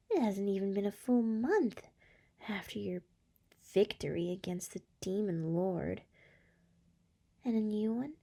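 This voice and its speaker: A woman voicing a seductive, scheming succubus demon lord in an ASMR roleplay, breathy and soft, whispering with a teasing purr close to the microphone. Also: mid-range voice.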